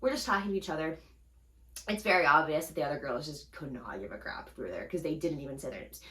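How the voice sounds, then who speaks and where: A young woman with a bright, forceful voice tells a story on a casual podcast. She is animated, acts out what people said, and laughs often. The room around her sounds echoey. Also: low-pitched, quick pace. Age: young adult. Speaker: podcast co-host.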